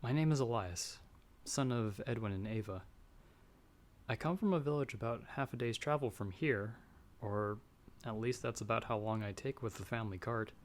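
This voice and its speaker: A man's voice: medium pitch, moderate pace, close to an everyday voice. A man playing a humble young farmer's son in an audio roleplay, speaking hesitantly with courteous, old-fashioned formal phrasing. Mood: awed, shy. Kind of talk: ASMR audio roleplay acting.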